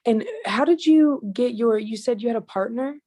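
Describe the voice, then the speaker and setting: A woman who is a guest on a podcast, talking in a relaxed, rambling and reflective way. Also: on the high side, moderate pace. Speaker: podcast guest, social media creator. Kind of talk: casual interview chat.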